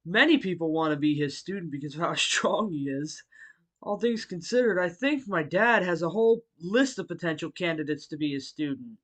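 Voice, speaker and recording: A man voicing a friendly, bookish martial-arts hero and teacher in a fan audio roleplay, speaking politely to the listener with hesitant pauses. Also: fairly high pitch, animated, a bit bright. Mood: fond. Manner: polite, chuckling, hesitant pauses between phrases.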